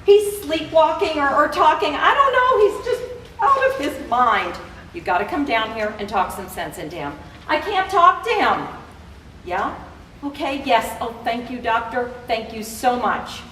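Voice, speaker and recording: A woman's voice: high-pitched, sing-song, measured pace, bright, forceful tone, exaggerated voice. A woman acting on stage as an alarmed, exasperated wife, incredulous and urgent. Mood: frantic, pleading. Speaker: stage actor in a staged play reading. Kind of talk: live theater comedy acting. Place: theater or temple hall, far microphone. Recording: echoey hall from far off, long reverb, noisy.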